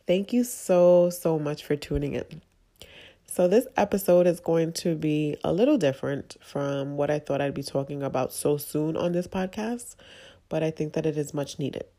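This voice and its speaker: A woman hosting her own podcast, congested and a little nasal from a cold, talking candidly in a halting, confessional way. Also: low-pitched, dark tone. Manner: halting, confessional, with frequent fillers. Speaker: podcast host. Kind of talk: candid personal monologue.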